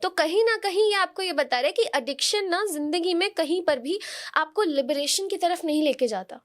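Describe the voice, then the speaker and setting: A woman's voice: extremely high pitch, expressive, normal speed. A woman giving conversational spiritual guidance, speaking instructively and straight to listeners with rhetorical questions. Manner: instructive, addresses listeners directly, uses rhetorical questions and tag questions. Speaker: oracle card reader, podcast guest giving horoscope guidance.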